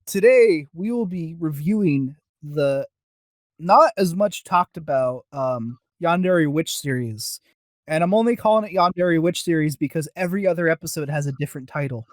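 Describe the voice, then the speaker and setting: A man's voice: fairly high pitch, animated, moderate pace, dry recording, studio quality. A man co-hosting a casual review podcast, talking in a rambling, self-deprecating way. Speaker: podcast co-host.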